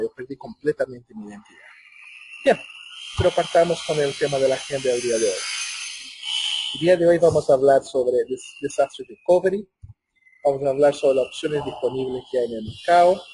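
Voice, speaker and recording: Man, Portuguese-tinged Spanish accent, very husky, mellow tone, dry close sound, webinar presenter. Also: mid-range voice. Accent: Brazilian Portuguese-tinged Spanish. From Chile. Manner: conversational, many restarts and self-corrections. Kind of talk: technical webinar talk. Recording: muffled, hoarse-sounding office audio.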